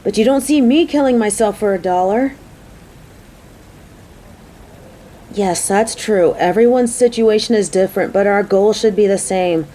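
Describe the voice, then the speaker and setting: A woman's voice: medium pitch, moderate pace, dark tone, slight background noise, close to an everyday voice, poor audio. A woman playing a caring, motherly female coworker who frets over the person close to her, earnest and affectionate in a romantic audio roleplay. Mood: worried, caring. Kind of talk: scripted romantic audio roleplay spoken to the listener.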